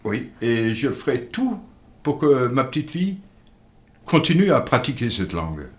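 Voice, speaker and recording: An older man, a native dialect speaker, telling village history in a radio interview in an earnest, measured way. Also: mid-range voice, big pitch swings. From Moselle, France. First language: Alsatian-Lorraine Franconian dialect. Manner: earnest, measured storyteller with halting restarts. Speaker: interview guest. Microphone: studio microphone.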